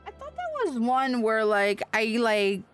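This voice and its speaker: A woman's voice: fairly high pitch, huge pitch swings, unhurried, faint music behind. A woman livestreaming an online game with casual, playful, salty commentary, muttering to herself as she plays. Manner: playful, foul-mouthed mock threats and muttering to self.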